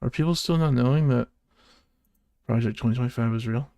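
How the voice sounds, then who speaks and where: A man's voice: mid-range voice, brisk, mellow, dry recording. A man streaming, swearing and muttering to himself about his computer. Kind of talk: casual streaming chat.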